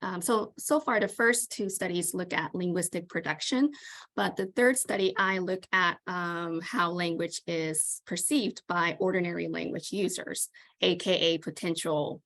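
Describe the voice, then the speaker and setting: Thoughtful low-voiced woman from Japan. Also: a little slow, a bit bright. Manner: thoughtful, with frequent fillers. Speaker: PhD student guest. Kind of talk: interview answers. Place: small room at home. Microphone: video call.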